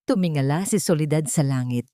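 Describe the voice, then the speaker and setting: A woman with a fairly low voice tells a sentimental story as scripted narration. Her delivery is solemn and emotive, and the sound is close and dry. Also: expressive, brisk, mellow, no echo.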